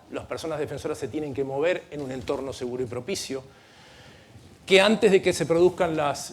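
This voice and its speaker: Argentine man, middle-aged, panel speaker, earnest and explanatory, mid-pitched voice, faint room noise. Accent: Argentine Spanish. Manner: earnest, explanatory, with frequent restarts and light asides. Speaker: panel speaker at a legal training event. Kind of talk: conference panel talk. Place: conference room.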